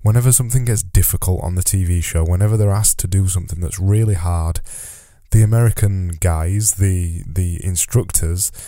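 A man's voice: low voice, normal speed, mellow, dry recording, studio quality. A man with a cold and a nasal, stuffy voice, hosting a solo podcast in a rambling, self-deprecating but motivational way. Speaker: podcast host.

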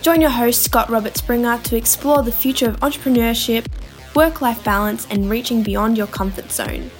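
A woman voicing a show's scripted opening. Her voice is fairly high, and she reads in an upbeat promotional style with light music playing underneath. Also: moderate pace. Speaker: show announcer. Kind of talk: scripted intro.

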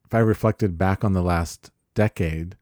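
A man co-hosting a podcast, speaking in a measured and thoughtful way with some halting restarts. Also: low-pitched, a little mellow, dry recording, clean studio sound. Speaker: podcast co-host. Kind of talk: reflective conversation.

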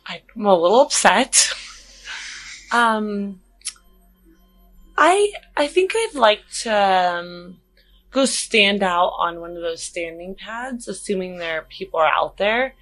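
A woman's voice: mid-range voice, expressive, slow pace, fairly bright tone. A woman talking casually and tentatively as a player in a tabletop role-playing game, describing her character's actions.

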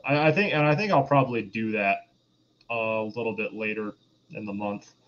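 A man's voice: fairly low pitch, moderate pace. A man co-hosting a podcast, casually reviewing in a hesitant way, repeating himself as he searches for words, on a lo-fi microphone. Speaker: podcast co-host. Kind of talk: casual chat, film review. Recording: dull lo-fi mic with faint noise.

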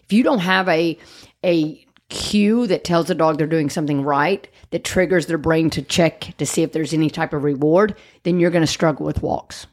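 Gravelly woman explaining dog training. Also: sits low, normal speed. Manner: explaining, emphatic, asks "right?" often. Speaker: podcast co-host and dog trainer. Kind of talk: casual chat.

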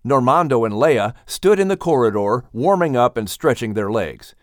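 A man reading a children's audiobook as its narrator, in an animated storytelling style. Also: medium pitch, moderate pace, no room sound, studio quality.

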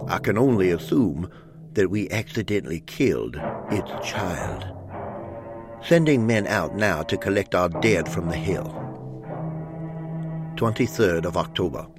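Deep, grave frontier fort commander. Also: male voice. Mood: ominous dread. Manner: grave, formal, dramatic. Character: grave male frontier army fort commander writing logbook. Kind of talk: audio drama logbook narration.

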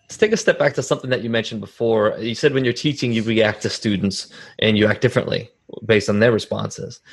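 A man with a slightly low voice hosts a conversational interview. He speaks reflectively, drawing on his own experience as he works through his thoughts. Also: husky.

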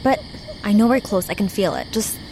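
A woman's voice: mid-range voice, brisk, noisy background, lightly acted. A young woman playing a stubborn, nature-loving hike leader in an audio drama, casual, wry and insistent. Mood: defensive, irritated.

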